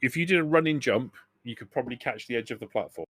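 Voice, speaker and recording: A man's voice: medium pitch, expressive, fairly bright tone, breathy edge, no room sound. A man narrating a tabletop game as game master, improvising and often rephrasing as he goes. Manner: hesitant, halting, often rephrasing.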